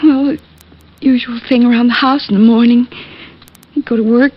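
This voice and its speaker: High, breathy, grieving young sister. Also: female voice, fairly even intonation, moderate pace. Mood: subdued. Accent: American. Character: distressed, grieving sister of a murdered man. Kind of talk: 1940s-50s radio drama acting. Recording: muffled old-time radio recording.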